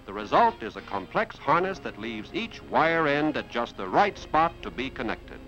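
Animated American 1950s newsreel-style male narrator. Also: mid-range voice, normal speed, creaky. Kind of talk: 1950s industrial film narration, scripted. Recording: muffled 1950s film soundtrack with music. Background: background music.

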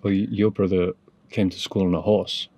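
A man's voice: deep voice, level tone, moderate pace, dark tone, no room sound. A young English man in his twenties, chatting casually and poking fun at himself while he drives. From England. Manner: chatty and self-deprecating. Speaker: vlogger. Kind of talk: casual chat on a road trip. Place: inside a moving car. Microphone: camera on the dashboard. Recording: car noise behind the voice.

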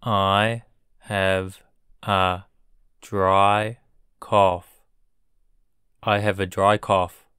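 A man's voice: sits low, little pitch movement, drawn-out words, pauses often, fairly bright tone, clear, resonant tone, no room sound, studio quality. A man teaching English words for illness, saying each word three times and then each sentence word by word. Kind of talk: slow drilled vocabulary reading.

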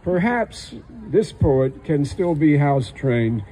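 A man's voice: fairly high pitch, animated, takes it slowly, mellow, breathy edge, low-quality recording. An older man reading a humorous poem in a dry, wry delivery on a small outdoor stage, using a stage microphone on a stand. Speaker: poet. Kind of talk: poetry reading. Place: small outdoor stage under trees at a festival.

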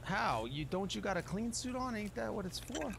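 Man, casual game commentary, higher-pitched, very animated intonation, slangy grumbler, faint background noise. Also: moderate pace, gravelly. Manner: grumbling slangy talk with mild minced oaths. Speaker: gamer narrating a playthrough. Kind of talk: casual improvised gameplay commentary.

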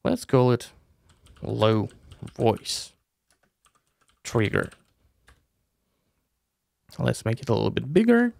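Guy in his 30s doing a software tutorial, gravelly voice, really animated pitch. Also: takes it slowly, pauses often, no room sound. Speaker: tutorial host. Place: small room indoors. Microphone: close desk mic with headset.

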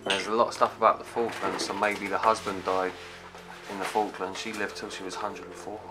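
Guy poking round an old empty house, lowish voice, excited, music playing. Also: fairly quick. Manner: excited, exclamatory. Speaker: urban explorer. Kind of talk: casual exploring commentary. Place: abandoned house indoors. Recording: music underneath. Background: background music.

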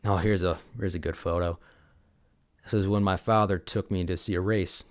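Easygoing low-voiced sabertooth boyfriend, acted by a man. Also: fairly even intonation, normal speed. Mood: fond, nostalgic. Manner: casual, reminiscing, gently hesitant. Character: easygoing, sentimental young sabertooth tiger boyfriend. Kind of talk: ASMR roleplay monologue.

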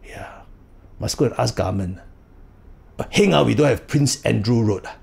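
A man's voice: medium pitch, normal speed, creaky, a little mellow. A man playing a proud, chatty Singaporean uncle who is a parade fan, speaking with a Singaporean accent and full of rhetorical tags. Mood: boastful chatty. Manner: chatty, rhetorical tags like right or not and huh. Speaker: comedic character monologue. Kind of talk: comic monologue in Singlish.

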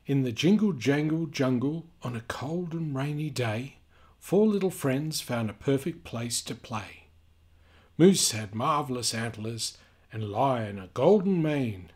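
Male storyteller, unhurried, husky, gentle, expressive, children's read-aloud, chatty asides. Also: mid-range voice. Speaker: children's book reader.

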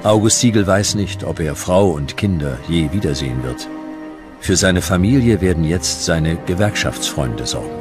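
A man with a very low voice narrates a historical documentary. His delivery is scripted narration. Music plays clearly behind him. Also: animated, moderate pace.

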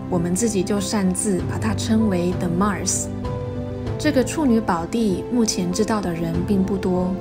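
A woman reading a calm, earnest scripted voice-over for a travel video. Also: medium pitch. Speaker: narrator. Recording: voice-over over loud background music.

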